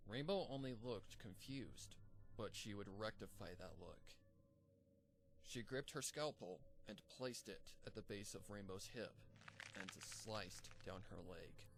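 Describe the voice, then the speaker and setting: Low-voiced man reading a dark story. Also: a little slow, noisy background. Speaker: fanfiction narrator. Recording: reading over background music.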